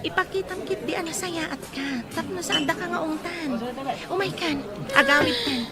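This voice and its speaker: A woman playing a coaxing friend over a loud crowd. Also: extremely high pitch, normal speed. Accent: Ilocano. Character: pushy party friend, coaxing young woman. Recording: loud crowd noise behind.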